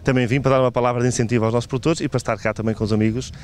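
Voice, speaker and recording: A man's voice: steady tone, rapid-fire. A man with a northern Portuguese accent giving an interview statement as a local official, restarting phrases. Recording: clear rumbling background noise.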